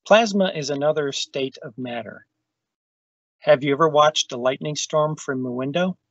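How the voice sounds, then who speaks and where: A man's voice: on the high side, normal speed, a bit bright, no room sound. A man reading a children's science book aloud over a video call. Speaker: storytime reader. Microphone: video call microphone. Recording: dull, lo-fi video call audio.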